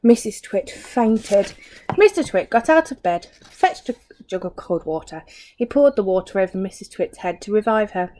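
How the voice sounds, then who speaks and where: A woman's voice: mid-range voice, animated, normal speed, mellow, a little hiss or hum, rough recording. A woman reading a children's story aloud like a teacher, expressively, with asides and questions to young listeners.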